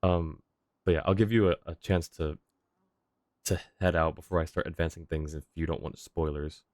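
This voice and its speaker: A man with a low voice, streaming a game and chatting with viewers. He is easygoing and polite, thinks aloud, and is recorded close and dry. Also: steady tone, normal speed, a little mellow, no echo. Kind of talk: casual livestream chat.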